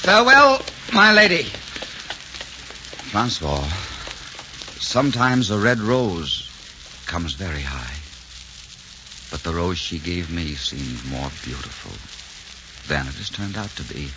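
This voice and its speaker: Gallant romantic swordsman, 1940s radio drama, highly animated delivery, impassioned, muffled noisy recording. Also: slow pace, long pauses, exaggerated voice. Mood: wistful, proud. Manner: theatrical, impassioned. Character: ardent, gallant swashbuckling Frenchman, romantic and proud.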